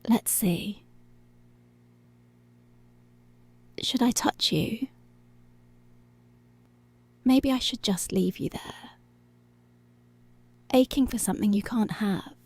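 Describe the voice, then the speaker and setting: A woman playing a dominant, teasing, seductive policewoman in an intimate ASMR roleplay, with a breathy, whispery voice and slow, deliberate, commanding delivery. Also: fairly high pitch, a little mellow, no echo, exaggerated voice. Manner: slow, deliberate, controlling, drawn-out phrases.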